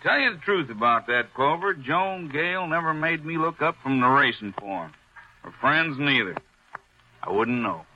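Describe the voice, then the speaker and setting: Sharp, wisecracking house detective. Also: male voice, fairly high pitch, animated, moderate pace. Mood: casually cynical. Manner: sardonic, slangy, needling. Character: wisecracking cynical hotel house detective, tough guy. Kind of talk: 1940s radio acting. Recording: muffled vintage radio recording.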